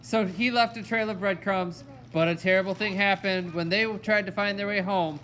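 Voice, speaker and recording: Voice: medium pitch, steady tone, moderate pace, a little hiss or hum, rough recording. A storyteller narrating a fairy tale for a children's puppet show. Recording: loud music alongside the voice. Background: music.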